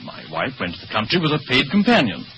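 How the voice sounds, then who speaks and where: An actor playing a formal, indignant English lord in an old radio mystery. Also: male voice, mid-range voice, wildly swooping pitch, fast, bright, forceful tone, full character voice. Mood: troubled. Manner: stiff, proper. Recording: dull, hissy old radio recording.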